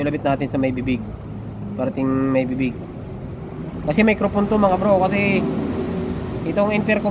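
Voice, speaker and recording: Filipino man, casual tutorial, noisy background. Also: medium pitch. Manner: informal, addresses viewers as bro. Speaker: tutorial host. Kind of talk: casual hands-on tutorial chat. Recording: noisy vehicle and street ambience.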